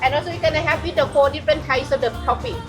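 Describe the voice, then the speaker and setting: A woman's voice: high voice, rapid-fire, music in the background. A woman with a Thai accent working as a food tour guide, explaining dishes in simple, chatty English. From Thailand. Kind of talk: guiding explanation.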